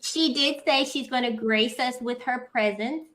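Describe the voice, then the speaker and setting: High, bright-voiced woman hosting. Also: a little slow. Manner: lively, playful, Southern-style "girl" and "y'all" banter. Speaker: podcast host. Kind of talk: casual livestream chat. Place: home, on a video call.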